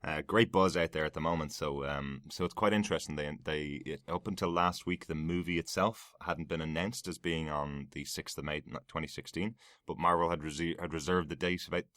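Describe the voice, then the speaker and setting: A man's voice: rumbling low, steady tone, a little fast, hardly any pauses, fairly bright tone, no room sound, clean studio sound. A man hosting a casual podcast, chatty, laughing easily and full of fillers and restarts. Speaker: podcast host.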